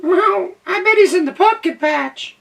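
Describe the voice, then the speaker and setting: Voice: very high-pitched, animated, unhurried, vocal fry, a bit bright, recorded from a distance, pitched above the performer's own voice, full character voice. A reader voicing a bossy house cat who meows before snapping out lines in a storybook read-aloud. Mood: snappy.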